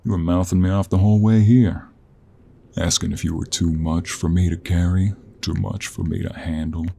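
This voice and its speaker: A man playing a gruff, teasing, weary 49-year-old cowboy monster hunter in an intimate audio roleplay, with a husky Western American drawl. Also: sits low, steady tone, dark tone. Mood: playful, grumbling. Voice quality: gravelly, husky. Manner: slow, drawling, with heavy sighs and pauses. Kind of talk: intimate audio roleplay acting, addressed to the listener.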